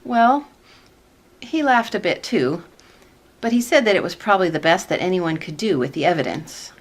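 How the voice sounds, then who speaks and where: A woman plays a skeptical government staffer in a radio comedy. Her voice is a little low, and she delivers her doubts in a wry, measured way. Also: lively intonation. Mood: matter-of-fact. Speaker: actor in a radio play. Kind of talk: sitcom-style radio comedy acting.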